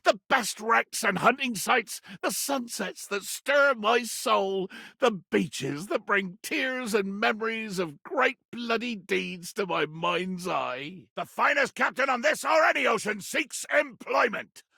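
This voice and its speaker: Proud pirate captain, video game character, bright forceful delivery, husky rasp, pompous old seafaring diction, theatrical bluster. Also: male voice, high voice, lively intonation, slow, few pauses, dry recording, clean studio sound, full character voice. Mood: rousing, wistful. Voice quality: gravelly, raspy. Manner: pompous, grandiloquent, archaic seafaring speech.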